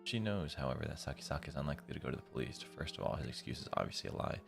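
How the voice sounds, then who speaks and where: A man's voice: a bass voice, big pitch swings, racing, vocal fry. A man live streaming, reading a visual novel's narration aloud and breaking off to comment casually. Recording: music behind the voice. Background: guitar music from the game.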